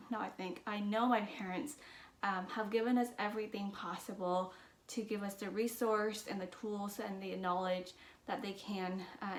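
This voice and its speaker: A woman from Vietnam with as her talks earnestly and reflectively to her channel, with many fillers and restarts. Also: mid-range voice. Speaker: business and mindset vlogger. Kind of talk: personal talk to camera. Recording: roomy echo.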